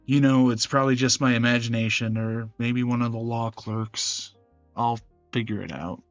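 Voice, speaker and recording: A man's voice: mid-range voice, steady tone, normal speed, no room sound. Man reading game drama, dry dull recording. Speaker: gaming channel creator voicing every character. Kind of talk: scripted dramatic narration of a visual novel. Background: game music.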